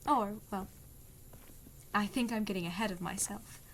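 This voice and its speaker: A woman playing a young runaway princess in an audio drama, plain-spoken, a little guarded and gently teasing. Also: medium pitch, normal speed, a little hiss or hum, natural voice. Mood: teasing, fond.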